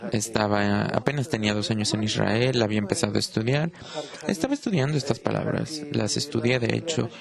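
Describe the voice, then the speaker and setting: A man, not a native Spanish speaker, giving an unscripted Kabbalah lesson with many pauses and restarts. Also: fairly low pitch, vocal fry, a crowd in the background. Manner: halting, frequent "eh" pauses and restarts. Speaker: Kabbalah teacher. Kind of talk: unscripted lecture.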